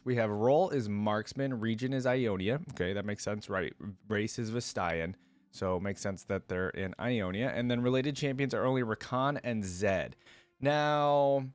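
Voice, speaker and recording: A man's voice: fairly low pitch, no room sound. A man hosting a reaction video, reading aloud and adding casual, conversational asides as he goes.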